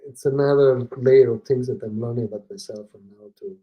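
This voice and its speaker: A man from Italy with an Italian accent, a podcast guest talking casually and haltingly, with many fillers and restarts. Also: mid-range voice, fairly even intonation, dull audio. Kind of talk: casual conversation.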